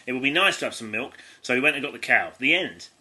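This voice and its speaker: A man's voice: mid-range voice, animated, fast, pushed and bright. An English man who co-hosts a comedy podcast, reading a child's stories aloud in an amused, stumbling way with asides. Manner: giggly, often stumbles and breaks off to comment. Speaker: podcast co-host.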